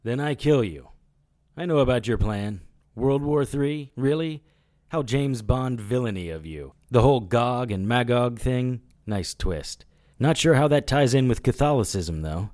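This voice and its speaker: Guy doing a wisecracking private eye in a radio play, lowish voice that moves around a lot, sarcastic, super dry close sound. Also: lively intonation, a little slow, darkish tone, dry recording, natural voice. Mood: calm threat. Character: wisecracking male private investigator hero, defiant. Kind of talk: audio drama acting.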